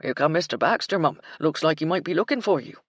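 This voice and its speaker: A woman voicing a humble male groundskeeper with rough country grammar, deferential in manner. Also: low-pitched, lively intonation, quick pace, no echo, studio quality. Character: rustic humble middle-aged male groundskeeper.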